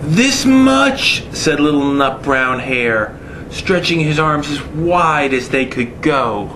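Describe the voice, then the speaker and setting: A man reading a children's bedtime story aloud in a playful, deliberate, tongue-in-cheek way. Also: medium pitch, background noise. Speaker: storybook reader.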